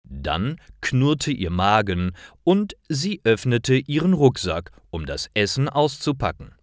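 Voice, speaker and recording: A man reading scripted stories for language learners in a careful, deliberate manner. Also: medium pitch, very animated, no echo, clean studio sound. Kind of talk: scripted storytelling narration for learners.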